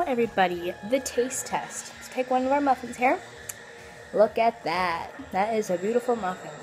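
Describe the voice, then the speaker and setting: A young woman chatting casually through a home baking video, filling her talk with "like" and "you know". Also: a little high. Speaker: cooking vlogger. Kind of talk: casual home cooking tutorial. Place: home kitchen, indoors. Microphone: phone or camera at arm's length. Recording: music playing underneath.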